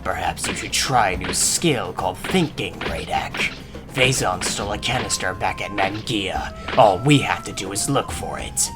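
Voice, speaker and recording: A cunning gang leader played by a man in a fantasy cartoon. His voice is fairly low, with dry sarcasm and condescension, and music plays clearly behind him. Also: animated, a little slow. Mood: condescending.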